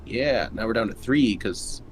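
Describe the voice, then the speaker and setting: Man, tabletop player, casual chat, lowish voice, clear background noise. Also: animated, unhurried.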